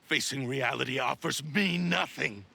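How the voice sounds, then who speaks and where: Menacing gravelly male sword spirit. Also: mid-range voice, a little slow, slight background noise, exaggerated voice. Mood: cold, menacing. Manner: haughty, archaic formal speech, forceful. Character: cursed sentient sword spirit, male, proud, obsessive, menacing. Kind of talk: anime game voice acting.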